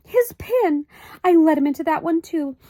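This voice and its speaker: Brief: a woman doing a high-strung storybook mother, shrill and wavering, swinging wide, dry close sound. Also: lively intonation, normal speed, warm tone, no room sound. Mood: fretting. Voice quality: high, quavering, melodramatic. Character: fretful, tearful tiny mother, middle-aged woman.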